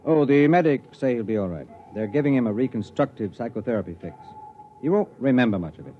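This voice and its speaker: A man's voice: mid-range voice, lively intonation, fast, natural voice. A man as a weary spaceship commander, muffled old radio. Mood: brisk, matter-of-fact. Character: weary spaceship commander, matter-of-fact, wry, pragmatic. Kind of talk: 1950s radio drama acting. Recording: dull, noisy vintage radio recording.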